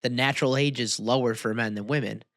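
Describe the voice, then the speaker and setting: A man's voice: medium pitch, fairly even intonation, quick pace, a bit bright, dry recording, studio quality. A man chatting casually as a podcast co-host, talking in a slangy, incredulous way. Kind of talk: casual chat.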